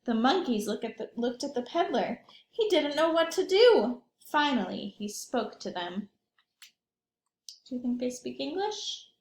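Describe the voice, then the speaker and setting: A woman reads a storybook to young children like an early years teacher. Her high voice moves up and down expressively at an unhurried pace. The room adds a noticeable echo. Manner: animated, asks young listeners questions.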